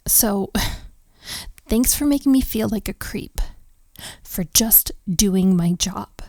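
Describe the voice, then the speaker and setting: A woman playing a flustered, overworked, sharp-tongued hotel maid in a romantic roleplay audio drama, with a sarcastic, exasperated, sighing delivery. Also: mid-range voice, lively intonation, slow pace, no room sound, studio quality. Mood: indignant, exasperated.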